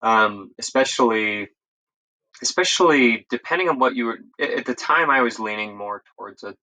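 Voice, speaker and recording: A man's voice: medium pitch, moderate pace, pushed and bright, poor audio. An American man telling his story as an interview guest in a casual, rambling, self-correcting way. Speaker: interview guest, EMS instructor.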